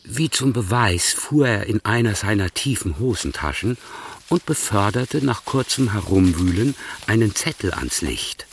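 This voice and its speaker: An actor narrating a literary radio play as a measured, wry storyteller. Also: male voice, lowish voice, animated, slight background noise.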